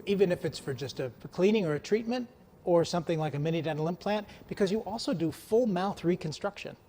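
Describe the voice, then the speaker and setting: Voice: mid-range voice, quick pace, dark tone, in a large hall. An interview host leading a promotional chat, repeating words and reading out contact details.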